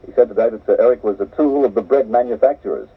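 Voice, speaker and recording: An Australian man reading a formal radio news bulletin in a measured, steady way. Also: medium pitch. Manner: measured, steady, crisp delivery. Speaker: radio newsreader. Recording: muffled cassette of an off-air radio broadcast, voice a little distant.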